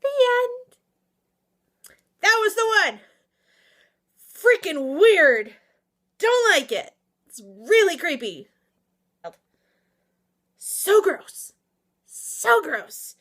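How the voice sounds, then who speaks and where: A woman chatting casually to viewers as a book reviewer, excitable, emphatic and slangy, with theatrical asides and exclamations. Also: very high-pitched, very slow, pauses often. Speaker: book reviewer and vlog host. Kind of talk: casual, opinionated book recommendation chat to viewers.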